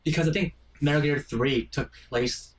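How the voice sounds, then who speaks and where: A man's voice: on the high side, normal speed, recorded from a distance. A man giving casual game commentary in a reflective, explanatory way, feeling for his phrases. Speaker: co-host commenting on a game playthrough.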